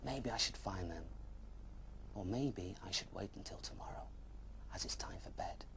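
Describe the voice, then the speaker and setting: A man telling a bedtime story to young children, gently addressing the listener with questions. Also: sits low, moderate pace, creaky, in a whisper.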